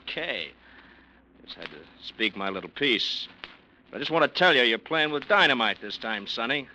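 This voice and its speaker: Hard-nosed detective, needling and blunt, very bright cutting tone, faint noise behind. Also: male voice, medium pitch, lively intonation, moderate pace, low-quality recording. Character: tough, blunt male police detective. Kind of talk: 1940s radio acting.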